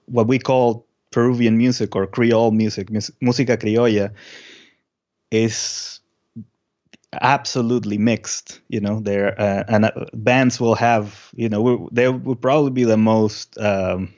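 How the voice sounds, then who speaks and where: Low-voiced Peruvian man, halting storyteller. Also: steady tone, a little mellow, dull audio. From Peru. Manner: halting, thinking aloud. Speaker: musician, interview guest. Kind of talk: casual interview storytelling.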